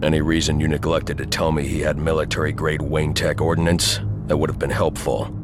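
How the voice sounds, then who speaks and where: A grim masked vigilante for a video game. His voice is extremely low, and he speaks in terse, stern, commanding phrases with loud music behind him. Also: completely flat delivery, brisk. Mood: stern, businesslike.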